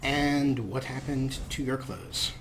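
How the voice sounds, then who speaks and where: A man with a mid-pitched voice narrates his let's play as host. His delivery is wry, slipping between joking asides and role-played dialogue. Game ambience is clearly audible behind him. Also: slow, fairly bright tone, a little hiss or hum. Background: video game ambience.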